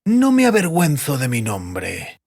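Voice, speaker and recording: A man voicing an oily, insinuating stranger in an audiobook, speaking slowly with drawn-out, false courtesy. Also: mid-range voice, huge pitch swings, creaky, a little mellow, voice pushed up, full character voice. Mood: sly, polite. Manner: slow, drawn-out, deliberately polite. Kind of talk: audiobook character voice.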